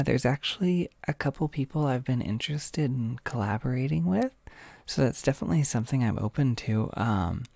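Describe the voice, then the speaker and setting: An American man hosting a casual livestream, talking softly and hesitantly into a close microphone, a little short on sleep. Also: medium pitch, creaky, no room sound. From United States. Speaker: livestream host.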